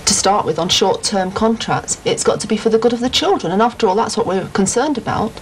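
A woman's voice: mid-range voice, animated, fairly quick. A woman, firmly giving her opinions in a documentary interview at home. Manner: firm, opinionated. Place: room at home. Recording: old VHS tape with faint hiss.

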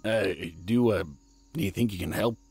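A man's voice: lowish voice, moderate pace, darkish tone, slightly rough, faint music behind. A man playing an earnest wood elf druid in a fantasy audio drama, hesitant and stammering. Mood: unsure.

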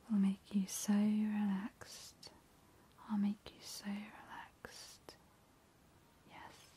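Young woman, ASMR whisper, soothing playful vampire, very slow delivery, faint hissy noise. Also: mid-range voice, dark tone.

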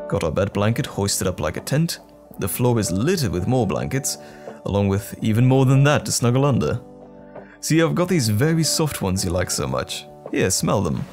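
A man's voice: mid-range voice, vocal fry, a little mellow, full character voice. A man playing a loving, attentive boyfriend in a roleplay audio drama, tender and reassuring with playful pet names. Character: loving, attentive boyfriend comforting his troubled partner.

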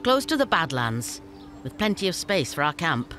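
Shrewd scavenger leader, teasing, businesslike, lively pitch, faint game music. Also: female voice, normal speed. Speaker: game character.

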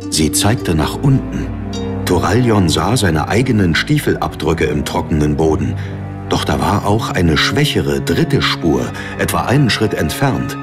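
A man's voice: sits low, expressive, unhurried, over music. A man reading scripted dramatic narration for a fantasy audiobook.

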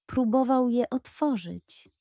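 A woman tells a children's bedtime story in a gentle, expressive voice. She speaks slowly, her tone is mellow and pitched a little high, and the recording is dry and close. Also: no echo.

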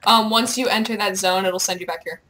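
A woman's voice: mid-range voice, fairly even intonation, brisk, sharp tone, rough recording. A giggly, playful young woman chatting casually with a friend as she plays a video game. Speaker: gamer, video host. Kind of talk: casual gaming chat with a friend. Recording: clear background noise with clicks.

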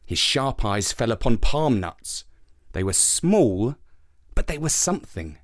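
A man reading a children's folktale as an expressive storybook narrator. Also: medium pitch, a little slow.

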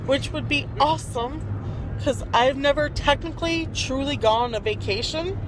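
A woman's voice: high-pitched, moderate pace. A young woman, chatting and giggling as she vlogs into a phone held at arm's length inside a car at night. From Texas. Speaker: vlogger. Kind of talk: casual chat. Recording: car noise behind.

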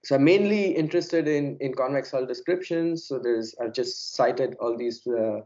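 A hoarse, unwell man giving an academic lecture over a video call, halting with many fillers and repeated words. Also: mid-range voice, darkish tone, highs cut off. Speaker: plenary speaker.

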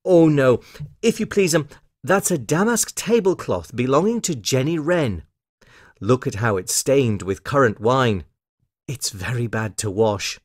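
A man's voice: medium pitch, expressive, measured pace, no room sound, studio quality. A man voicing a fussy, eager little female hedgehog washerwoman from a children's storybook, with flustered, hesitant politeness. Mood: flustered, polite.

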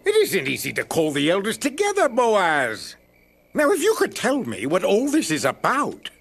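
An actor playing a reluctant, puzzled townsman in an animated Bible story, questioning and protesting. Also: high-pitched, moderate pace, vocal fry, husky, full character voice. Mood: incredulous, reluctant.

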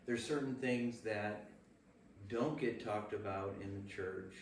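Low-voiced man, distant and echoey. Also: slow. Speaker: Bible study participant. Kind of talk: earnest group discussion comment. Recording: echoey room, distant mic, faint noise.

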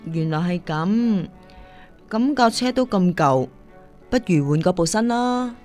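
A caring, firm singing teacher played by a woman in a Cantonese radio drama, Hong Kong accent. Her intonation is very lively and she speaks earnestly, over faint music. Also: mid-range voice, measured pace, natural voice. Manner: earnest, admonishing.

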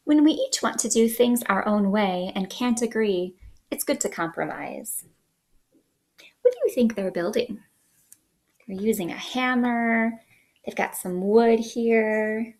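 A woman in her thirties hosting a children's story time, reading aloud in an expressive, sing-song way at home. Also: a little high, takes it slowly. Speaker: children's librarian, storytime host. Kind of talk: picture book read-aloud for young children. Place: small living room indoors.

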